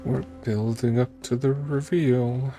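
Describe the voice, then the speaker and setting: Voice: medium pitch, fairly even intonation, slow pace, warm tone, soft background music. A streamer giving casual, informal gameplay commentary in a musing manner. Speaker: streamer playing and reacting to a game.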